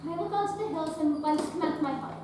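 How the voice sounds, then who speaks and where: A woman's voice: high voice, quick pace, natural voice. A young woman acting a devout, unflinching Victorian nurse in a stage play, firm and formal. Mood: resolute. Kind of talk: teen stage play performance. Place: theatre stage. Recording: echoey stage recording from far off, noisy.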